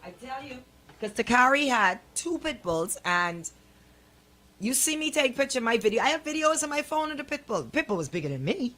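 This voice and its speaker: A woman's voice: high voice, sing-song, fairly quick, bright, forceful tone. Caribbean woman chatting on radio. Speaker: radio show host. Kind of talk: casual on-air chat.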